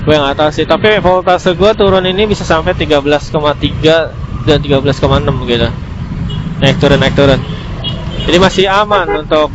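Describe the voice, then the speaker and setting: Muffled, higher-pitched Jakarta man in road noise. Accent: Jakarta-area Indonesian. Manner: colloquial, slangy, rambling explanation. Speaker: motorcycle vlogger. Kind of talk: casual vlog review, explaining while riding. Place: outdoors on a motorcycle by a road. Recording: muffled, clearly noisy outdoor motorbike recording.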